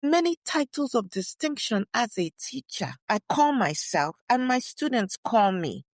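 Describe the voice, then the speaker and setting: A woman playing a dignified, proud elderly woman writer and scholar, delivering a deliberate first-person monologue with emphatic repetition. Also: a little high, very animated, dry recording, exaggerated voice. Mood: proud, declamatory. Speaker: co-host in character. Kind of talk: first-person dramatic monologue, read aloud.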